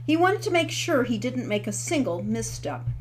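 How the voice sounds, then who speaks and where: A woman with a fairly high voice reads her own book aloud, slipping into casual asides now and then. She records herself on a webcam, with a faint hum in the background. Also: big pitch swings, moderate pace. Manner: warm, casual asides between the reading. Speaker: co-author reading her book. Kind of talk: audiobook read-aloud.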